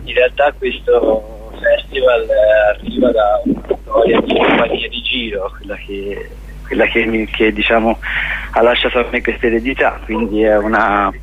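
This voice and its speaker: A man's voice: fairly low pitch, flat delivery, normal speed, narrow, tinny audio, slight background noise. A man giving a casual interview as a guest, circling back and repeating his phrases as he explains. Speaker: festival organizer, interview guest.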